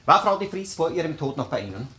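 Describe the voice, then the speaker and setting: Probing detective, crime drama: fast, sharp, fairly high voice. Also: male voice, big pitch swings. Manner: direct, probing. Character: brisk, probing male police investigator.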